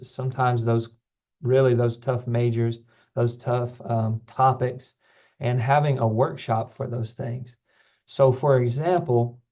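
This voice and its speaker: A man with a mellow, relaxed voice at a middle pitch gives an informational talk. He explains things earnestly and repeats words for emphasis. The sound is a slightly muffled recording from an online call. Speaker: student program staff presenter. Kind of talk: informational presentation to families. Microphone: online call microphone.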